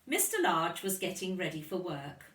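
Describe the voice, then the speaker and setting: A woman's voice: lowish voice, moderate pace. A woman reading a children's storybook aloud expressively, in the manner of a kindergarten teacher. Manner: expressive, addressing young children. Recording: roomy echo, distant from microphone.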